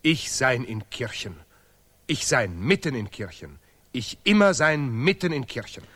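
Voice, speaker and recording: A man's voice: mid-range voice, big pitch swings, unhurried, a bit bright, husky, heavily acted. An actor playing a zealous, self-important male laureate, pious and bigoted, speaking emphatic broken grammar in an absurdist radio play.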